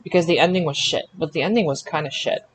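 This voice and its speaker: A man with a fairly high voice hosts a casual sports recap podcast. He talks haltingly, doubling back on words as he pieces details together. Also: crowd noise. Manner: halting, repeats words while recalling details. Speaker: podcast host. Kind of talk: casual wrestling results recap.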